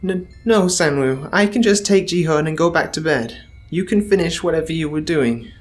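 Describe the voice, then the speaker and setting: A man voicing a fearful young husband and mother who pleads in a high, strained voice. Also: moderate pace, natural voice. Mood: nervous coaxing. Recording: faint music underneath.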